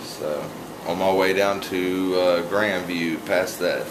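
A young man making a prank phone call from a home kitchen at night, talking casually into a phone held close, teasing and smooth-talking with plenty of slang. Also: deep voice, steady tone, takes it slowly. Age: young adult. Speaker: prank caller. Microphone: phone held close to mouth, camera close by. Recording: noisy home recording.

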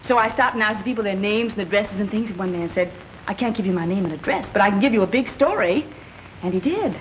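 A woman's voice: mid-range voice, animated, fast talker, close to an everyday voice. An actress playing an eager, naive young woman reporter, breathless and insistent, in old-time screwball drama style. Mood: naive, defensive. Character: scatterbrained, eager young female would-be reporter. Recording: dull, noisy old film soundtrack.

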